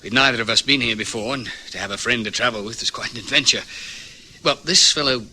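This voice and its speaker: A man's voice: medium pitch, fast talker, bright, forceful tone, a little hiss or hum, poor audio. A man playing a polite, earnest young traveller in a radio drama, deferential and a little hesitant. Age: young adult. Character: polite, earnest young traveller worried about his friend.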